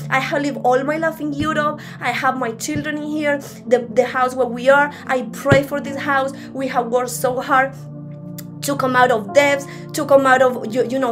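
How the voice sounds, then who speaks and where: A young woman from Spain with a Spanish accent gives an informal, personal teaching in a halting, earnest, conversational way over a video call from a room at home. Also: high-pitched. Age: young adult. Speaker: preacher. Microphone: laptop on a video call. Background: music.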